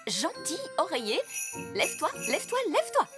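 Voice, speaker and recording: High-pitched, bossy fairy nanny. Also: female voice, sing-song, over music. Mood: fussy, focused. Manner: prim, brisk, theatrical. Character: bossy, self-assured fairy nanny, a grown woman. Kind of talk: children's animated series dubbing.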